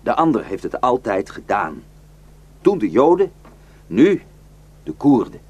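A man's voice: medium pitch, very animated, unhurried, slightly rough. An actor playing a cynical, taunting Iraqi man in a radio drama, declaiming and mocking. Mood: mocking, lecturing. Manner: mocking, declamatory, swinging from hushed to shouting.

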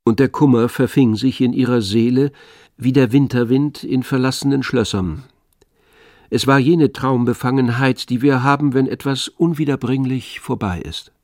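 A man narrating a radio play in a measured, grave, literary style. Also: mid-range voice, darkish tone, no room sound, studio quality.

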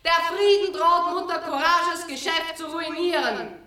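Very high, echoing female announcer. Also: measured pace, sharp tone, full character voice. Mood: declamatory. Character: female announcer proclaiming the chronicle of a war. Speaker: narrator. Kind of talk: declaimed scene announcements in a radio drama. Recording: very echoey, slightly distant.

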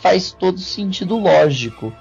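A man's voice: high-pitched, animated, unhurried, creaky, a little mellow, slightly rough. A Brazilian man in a casual podcast discussion, explaining things in detail as a host. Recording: dull lo-fi audio with music.

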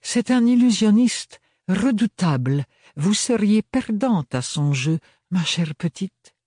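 A man's voice: high voice, lively intonation, slow, a little mellow, no room sound, studio quality, pitched above the performer's own voice, full character voice. A man voicing a tired, elegant noblewoman who speaks in a honeyed, mocking way. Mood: languid. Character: weary elegant noblewoman, sly and languid.

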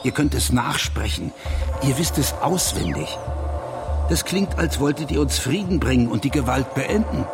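Bitter old-order type, mid-pitched, accusing and ominous, radio play w/ music behind. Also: male voice, animated, normal speed, vocal fry. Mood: outraged. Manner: accusatory, rhetorical. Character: bitter reactionary man, accusing and foreboding. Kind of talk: dramatic radio play monologue.

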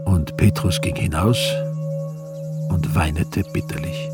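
A grave male gospel narrator in a radio play. The voice is low and gravelly, measured in its storytelling, with music clearly behind. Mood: grave, narrating. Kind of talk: scripted radio drama narration.

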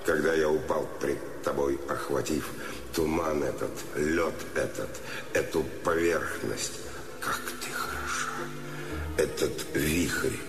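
Very deep, gravelly lovesick man poet. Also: music in the background, full character voice. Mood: despairing. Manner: halting, dramatic declamation in short phrases. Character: feverish, lovesick, regretful male poet. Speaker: actor in a radio play. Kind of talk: theatrical poetic radio play acting.

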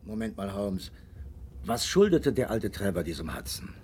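An actor playing an earnest, puzzled companion to a detective in a radio drama, questioning and deliberate. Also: male voice, fairly low pitch, sing-song, a little mellow, breathy edge. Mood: puzzled, curious.

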